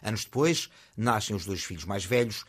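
Male tv narrator, husky low voice, steady scripted read. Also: normal speed. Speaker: reporter narrating a TV profile.

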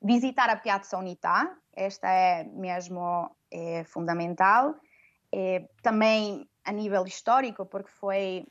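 A woman's voice: medium pitch, measured pace, highs cut off. A woman who grew up in Venezuela and Madeira, speaking as an interview guest. She talks in a hesitant way, with frequent fillers and tag questions. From Venezuela, raised from 12 in Madeira. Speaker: guest, language teacher. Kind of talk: conversational interview.

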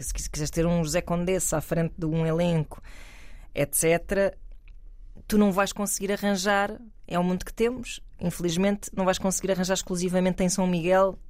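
A woman's voice: lowish voice, a little mellow, no room sound. A woman, talking through her view in a casual panel discussion, thoughtful and hedging with many fillers. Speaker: panel commentator.

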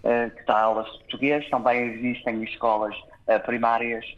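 A man from Canada, born in Toronto, answering interview questions hesitantly, with many filled pauses and restarts. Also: mid-range voice, little pitch movement, highs cut off. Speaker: guest.

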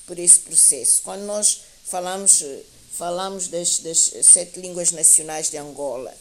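A woman speaking as a guest teacher in a panel discussion, explaining in a deliberate, academic way and often correcting herself as she goes. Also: fairly low pitch, fairly even intonation. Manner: deliberate, academic, hesitant with repeated self-corrections. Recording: clear background hiss.

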